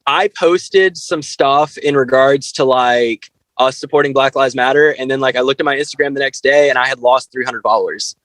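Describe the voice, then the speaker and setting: A man's voice: medium pitch, normal speed, fairly bright tone, no echo, low-quality recording. A man speaking as an interview guest in casual podcast chat, blunt and candid, swearing freely and peppering his talk with "like". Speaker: band frontman, interview guest.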